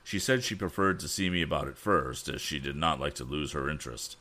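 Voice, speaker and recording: A man voicing a weary aged bank president who speaks gravely and measuredly, reading a storybook aloud. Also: a bass voice, fairly quick, sharp tone.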